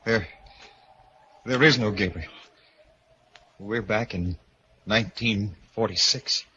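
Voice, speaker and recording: An actor playing a tense, haunted husband with a halting, urgent delivery in 1940s radio drama style. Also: male voice, on the low side, bright, forceful tone, breathy edge, exaggerated voice. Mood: grave. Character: tense, haunted husband gravely sure of doom. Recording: muffled, noisy 1940s radio recording.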